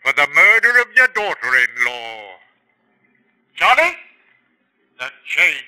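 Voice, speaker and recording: An actor playing a stern, commanding official who orders an arrest in a 1950s radio drama. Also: male voice, fairly high pitch, sing-song, takes it slowly, exaggerated voice, poor audio. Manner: commanding, forceful.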